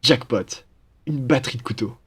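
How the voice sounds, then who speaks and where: A man's voice: mid-range voice, moderate pace, a bit bright, slightly rough. A young man playing an upbeat, cheeky student roommate in a French roleplay, with a playful, slangy, enthusiastic manner. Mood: casual, friendly. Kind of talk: casual French roleplay acting.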